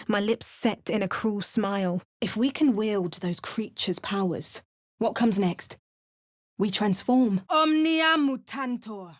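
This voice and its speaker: A woman's voice: mid-range voice, natural voice. A woman with an English accent voicing a hardened, wry female adventurer in a video game, making dry remarks and chanting spells. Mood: incanting. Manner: chants spell words in a lively, sing-song way. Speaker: player character.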